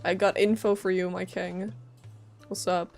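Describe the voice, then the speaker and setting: A woman's voice: fairly low pitch, little pitch movement, a little slow, creaky, soft background music. A woman doing casual live gameplay commentary, informal, playful and reactive as a streamer.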